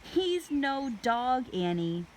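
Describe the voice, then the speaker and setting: Woman doing a doubtful bookish little boy in a kids story, lively pitch, bit exasperated. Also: extremely slow, a little hiss or hum, exaggerated voice. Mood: alarmed, exasperated. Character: cautious, skeptical, studious young boy.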